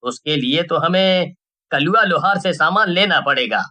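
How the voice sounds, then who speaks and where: High bright voiced village guy, a man acting, panicky and selfish, whiny complaints, storybook drama, slow delivery. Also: animated. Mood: defensive. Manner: agitated, complaining, scornful.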